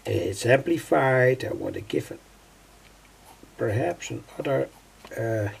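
An older man giving an unscripted hands-on tutorial in a halting, deliberate way, often repeating his phrases. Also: mid-range voice, lively intonation. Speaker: electronics hobbyist presenter. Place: indoors at a home workbench. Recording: clearly noisy home recording.

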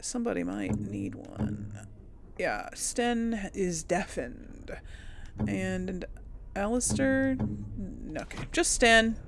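A woman's voice: mid-range voice, expressive, takes it slowly, vocal fry, background noise. A woman hosting a game playthrough, chatting casually to viewers and musing aloud to herself. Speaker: host of a gaming playthrough series. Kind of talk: casual let's play commentary, chatting to viewers.

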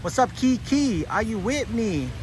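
Male livestream host, fairly high voice, laid-back and chatty, recorded walking outside with noticeable ambient noise. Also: sing-song, a little slow, a little mellow. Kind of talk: casual livestream chat, greeting viewers. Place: outdoors, walking along a beach. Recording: noisy outdoor ambience, breezy.

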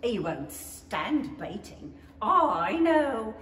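A woman's voice: fairly high pitch, big pitch swings, very slow, a bit bright, heavily acted. A woman playing an eccentric, rude mad hatter in an old-time radio play, blunt and theatrical. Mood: musing. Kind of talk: 1930s-style radio play acting. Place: stage in an empty theatre hall. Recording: echoey hall from far off, faint noise.

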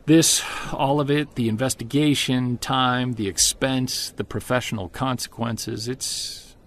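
An actor playing a driven, weary Bigfoot investigator in an audio drama, earnest and conversational. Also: male voice, mid-range voice, fairly even intonation, unhurried, a bit bright. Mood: weary, resigned. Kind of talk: audio drama, first-person narration and dialogue.

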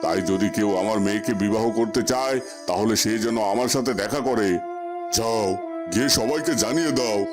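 A man's voice: lowish voice, normal speed, over music, close to an everyday voice. Commanding village landlord played by a man. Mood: commanding, proud. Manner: authoritative, instructing. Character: commanding middle-aged village landlord, proud father. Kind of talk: cartoon voice acting in a fairy tale.

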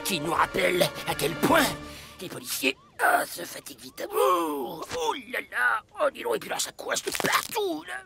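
An older cartoon policeman with a very high, gravelly voice. He blusters and huffs theatrically, his pitch leaping about wildly as a bumbling, worn-out lawman. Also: big pitch swings, moderate pace, over music, heavily acted. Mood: exhausted.

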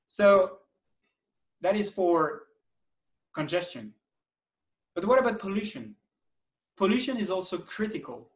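A man gives an enthusiastic slide presentation over a video call, with lively emphasis and occasional restarts. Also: a little high. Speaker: conference speaker. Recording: echoey room, distant mic.

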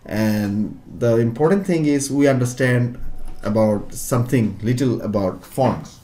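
A man's voice: mid-range voice, unhurried, mellow. A middle-aged man teaching a computer lesson one on one, explaining patiently step by step. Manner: patient, explaining step by step, non-native phrasing. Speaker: computer tutor.

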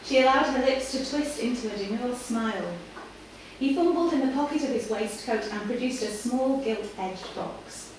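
A woman with a fairly high voice gives a measured reading of fiction. She is live in a café, recorded from a distance, so the room echoes heavily around her with some faint noise. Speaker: reader at a live literary night. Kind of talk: dramatic reading of written fiction. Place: live in a café before an audience. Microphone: far camera.

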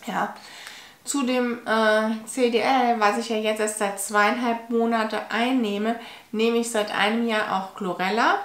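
A woman in her forties talking to camera at home in a personal vlog, nasal from a cold, tired and halting with restarts. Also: fairly high pitch, steady tone, fairly bright tone. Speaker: vlogger. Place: home living room, indoors. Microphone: fixed camera at medium distance. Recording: echoey room.

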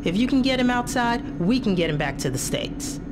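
A woman's voice: fairly high pitch, sing-song, normal speed. A woman playing a confident, wry undercover intelligence agent in a video game, speaking with composure. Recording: loud music and vehicle noise behind.

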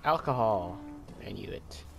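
Low-voiced man chatting while gaming. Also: expressive, unhurried, creaky, noisy background. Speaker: gamer playing a horror game. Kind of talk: casual gameplay chat.

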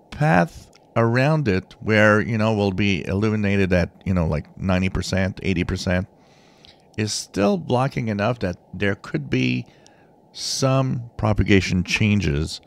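Deep, creaky-voiced man explaining informally. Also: animated, a little slow. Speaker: radio hobby channel host. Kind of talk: informal explanatory commentary over a screen share.

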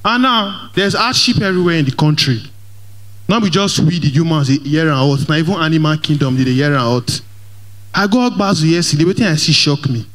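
A young man with a Nigerian Pidgin English accent doing lively stand-up comedy in a large church hall, speaking into a handheld mic and mixing Pidgin with English as he works the crowd. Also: fairly high pitch, fairly quick. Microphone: handheld microphone.

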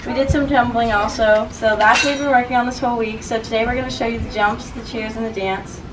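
A woman's voice: on the high side, fairly even intonation, fast talker, background noise. A young woman coaching a group of little girls at cheerleading, explaining what they worked on. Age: young adult. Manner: calls out counts, brief encouragement. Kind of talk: coaching and counting out routines. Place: outdoor backyard. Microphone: far camera. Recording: roomy, distant camera sound.

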